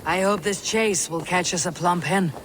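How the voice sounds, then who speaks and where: A woman's voice: on the low side, moderate pace, lightly acted. An actress playing a blunt, battle-hardened Viking woman leader in a video game, plain-spoken and terse. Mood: wry. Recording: loud background noise over dialogue.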